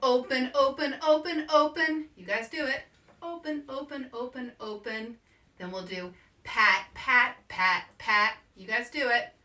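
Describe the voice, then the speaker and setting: A woman hosting a children's story time as an author, giving simple, encouraging call-and-response instructions with animated, repeated action words. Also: high voice, measured pace. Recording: roomy echo, distant microphone.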